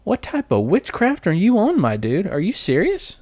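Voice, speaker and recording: A man's voice: sits high, huge pitch swings, normal speed, darkish tone, no room sound. A man with a Southern American accent chatting informally and jokingly on a live stream as he answers comments. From United States.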